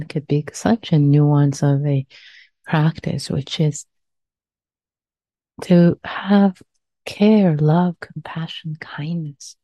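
A woman with a Persian accent, a meditation teacher speaking softly and slowly with many pauses and fillers, guiding a reflection. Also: sits low, gravelly, no echo. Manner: soft-spoken, pausing, with frequent fillers. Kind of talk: guided reflection after meditation. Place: meditation center hall. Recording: muffled lo-fi recording.

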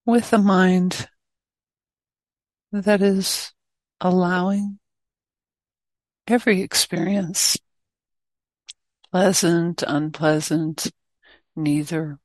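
A woman teacher leading a guided meditation, speaking in short, gently inviting phrases with pauses between them. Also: on the low side, dry recording, low-quality recording.

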